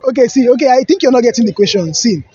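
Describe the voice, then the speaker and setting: A man's voice: extremely high pitch, animated, very fast, lo-fi sound, over music. A young man with a Nigerian accent playfully quizzing people in a comedic outdoor street interview, mixing in pidgin. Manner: teasing, playful questioning with pidgin asides. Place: outdoors on a lawn by trees. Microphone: handheld mic.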